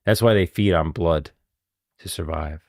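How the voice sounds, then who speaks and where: Deep-voiced man rambling casually, close and dry. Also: a little slow, gravelly, darkish tone, dry recording. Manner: rambling, thinks aloud, repeats himself. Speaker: streamer commenting on game lore. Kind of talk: casual live reaction commentary.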